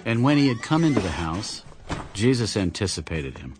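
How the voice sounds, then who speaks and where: A man's voice: on the low side, sing-song, normal speed. A man giving scripted, dramatic narration of scripture as a narrator. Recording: loud music behind the voice.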